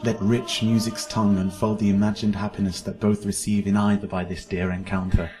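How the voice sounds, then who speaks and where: An actor playing an ardent, lyrical young lover in a Shakespearean radio drama. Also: male voice, fairly low pitch, level tone, a little fast, dark tone, lightly acted. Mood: rapturous.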